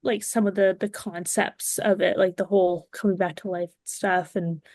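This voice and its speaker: A woman's voice: medium pitch, steady tone, moderate pace, darkish tone, no room sound. Woman guesting on a podcast, dry sound. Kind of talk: casual chat.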